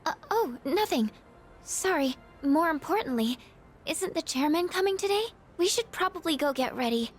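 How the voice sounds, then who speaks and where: An actress playing a shy, clever teenage schoolgirl who speaks softly and politely, with some hesitation. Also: sits high, lively intonation, normal speed, soft background music. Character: soft-spoken teenage schoolgirl, shy and bright. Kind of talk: video game voice acting.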